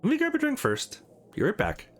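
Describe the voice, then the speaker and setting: A man's voice: very high-pitched, wildly swooping pitch, a little fast, fairly bright tone, soft background music, pitched above the performer's own voice, heavily acted. A man voicing the guarded, wisecracking young hero of a visual novel in a dry, blunt way. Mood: dry, teasing.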